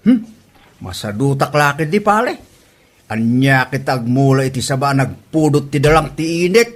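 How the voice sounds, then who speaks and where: A man's voice: medium pitch, expressive, normal speed, close to an everyday voice. A man with an Ilocano accent playing a lazy, grumbling village buddy in a comedy drama. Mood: lazy, complaining. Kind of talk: comedy radio-style drama acting.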